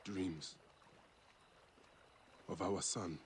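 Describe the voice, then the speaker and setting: A low-voiced, devoted warrior husband. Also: male voice, fairly even intonation, very slow, slight background noise. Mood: troubled, tender. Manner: earnest, heartfelt. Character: devoted, grieving Egyptian warrior husband, protector of the people. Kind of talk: dramatic video game acting.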